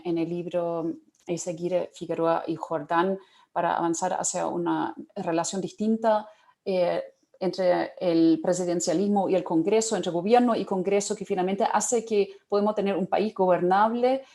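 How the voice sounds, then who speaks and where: Woman, German accent, academic panelist; warm, relaxed tone, halting delivery with many fillers. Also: medium pitch. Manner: thoughtful, many pauses and fillers.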